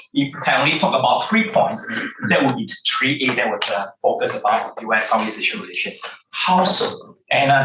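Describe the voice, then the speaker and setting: A man's voice: medium pitch, bright, forceful tone, recorded from a distance. Malaysian man speaking in a roomy hall. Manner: halting, filler-heavy delivery with measured emphasis. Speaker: panel speaker. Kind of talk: academic panel talk. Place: lecture hall or conference room.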